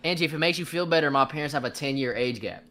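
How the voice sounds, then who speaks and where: A man with a bright, sharp voice who keeps a casual call game moving. He gives brisk directions in a relaxed, friendly way. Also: a little high. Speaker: game moderator.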